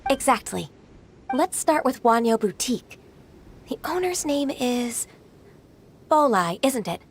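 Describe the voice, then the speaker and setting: Polite composed secretary lady, a bit higher voice, calm and measured. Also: slight background noise. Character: calm, dutiful young female secretary, modest.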